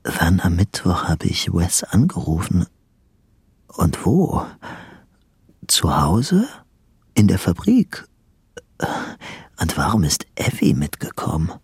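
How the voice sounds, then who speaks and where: Radio drama: guarded, obsessive man, lowish voice, big pitch swings, halting delivery, temper kept under a lid. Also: very animated, a little mellow, slightly rough, exaggerated voice. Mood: brooding inwardly. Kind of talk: German radio play dialogue and inner monologue.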